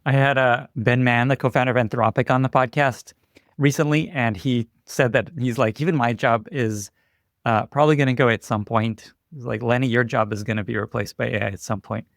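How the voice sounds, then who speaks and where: A man's voice: mid-range voice, steady tone, fairly quick, vocal fry, dry recording, studio quality. A man hosting a podcast interview in a casual, chatty style. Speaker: podcast host.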